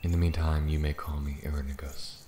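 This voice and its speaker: An ancient dragon in elven male disguise, acted by a man, speaks in an extremely deep voice. He is proud, amused and deliberate, with theatrical fantasy ASMR phrasing. The sound is roomy and echoing, with faint crickets and nature ambience behind. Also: little pitch movement, dark tone, slight background noise. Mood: calm, wry. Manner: slow, deliberate, theatrical, breathy near-whispers at times.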